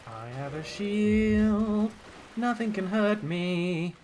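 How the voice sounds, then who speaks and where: A man's voice: high voice, drawn-out words, darkish tone, soft background music, low-quality recording. A young man streaming his first run of a game, chatting casually and joking at his own expense. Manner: playful, jokey, self-mocking. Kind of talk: casual gameplay commentary.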